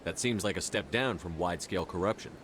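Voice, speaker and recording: An actor playing a blunt, no-nonsense military commander in a science fiction game, terse and direct. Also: male voice, sits low, fast, background noise, natural voice. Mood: firm, questioning. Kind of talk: video game dialogue acting.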